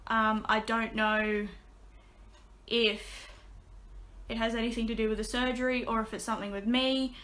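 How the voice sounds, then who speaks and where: A young woman chatting casually to her webcam from a small bedroom, her voice a little raspy, full of ums and restarts. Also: a little high, little pitch movement, moderate pace, pauses often, fairly bright tone. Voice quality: slightly raspy. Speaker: vlogger. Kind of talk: casual vlog update. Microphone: webcam at desk.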